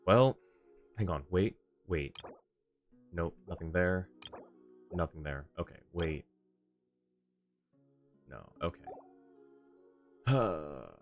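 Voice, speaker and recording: Deep-voiced man livestreaming a game. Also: animated, slow, long pauses. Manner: muttering, thinking aloud in short bursts. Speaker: game live streamer. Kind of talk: casual livestream gameplay commentary, reacting and talking to chat. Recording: game music behind the voice. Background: video game music.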